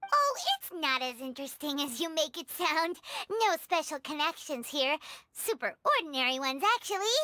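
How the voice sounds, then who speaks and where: A woman's voice: sing-song, normal speed, no room sound, exaggerated voice. A woman voicing a small chirpy fairy companion girl who talks about herself in the third person, in game voice acting. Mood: casual. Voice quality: high, bright and squeaky.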